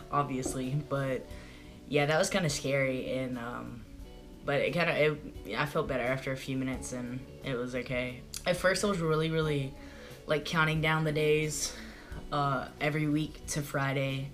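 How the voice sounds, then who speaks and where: A young man talking casually to the camera in a small room at home, chatty and rambling with lots of "like" fillers, his voice prone to cracking and going hoarse. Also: mid-range voice, fairly even intonation, moderate pace, fairly bright tone, faint music behind. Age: young adult. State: voice goes hoarse easily. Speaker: vlogger. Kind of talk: casual video diary. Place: small bedroom at home, indoors. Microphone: camera in front at close range.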